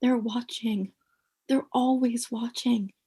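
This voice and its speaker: Actress as a high-voiced, creaky, bossy sitcom roommate. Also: female voice, fairly even intonation, slow, dark tone, slight background noise. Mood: ominous, knowing. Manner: theatrical, emphatic, cryptic. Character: bossy, ominous sitcom roommate devoted to her show. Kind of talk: comedic radio play acting.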